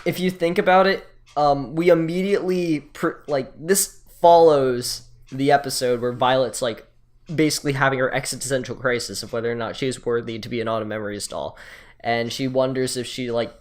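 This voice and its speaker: A man's voice: mid-range voice, steady tone, moderate pace. Insistent young-sounding guy debating anime. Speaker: podcast co-host reviewing anime. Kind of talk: casual group chat about anime.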